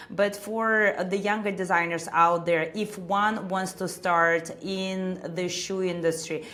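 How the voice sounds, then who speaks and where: Woman host, low-leaning voice, casual questions with restarts. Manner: warm affirmations, stumbling restarts. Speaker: interviewer, host.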